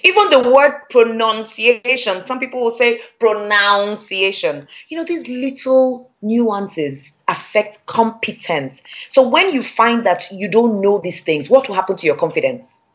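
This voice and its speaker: A woman with a Nigerian accent, a public speaking trainer teaching a masterclass in an emphatic, lively way. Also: on the high side, unhurried, few pauses, poor audio.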